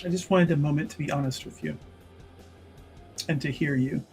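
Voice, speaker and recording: A man playing an earnest, solemn member of a fantasy church in a tabletop game, speaking in measured, halting, conciliatory phrases. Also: a little high, a little mellow, soft background music, natural voice. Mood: earnest, gentle. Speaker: tabletop roleplay player. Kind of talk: in-character improvised dramatic dialogue.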